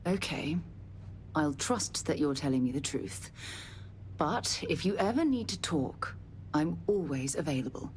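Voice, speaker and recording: A caring yet no-nonsense woman explorer in a space video game. Her voice is notably deep and measured, with clear noise in the background. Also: expressive, darkish tone. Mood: concerned.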